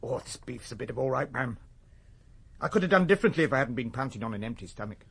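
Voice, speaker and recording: A whimsical, husky, animated middle-aged Englishman. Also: medium pitch, fast, heavily acted. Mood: eager, droll. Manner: hesitant, playful with fanciful words. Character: mild, whimsical middle-aged runaway shopkeeper seeking work. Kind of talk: naturalistic radio drama acting.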